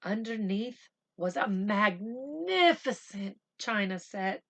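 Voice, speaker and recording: A woman reading a picture book aloud like a teacher, slow and expressive in the story, chattier when she stops to explain words simply for children. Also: medium pitch. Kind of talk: read-aloud storytelling with casual explanatory asides.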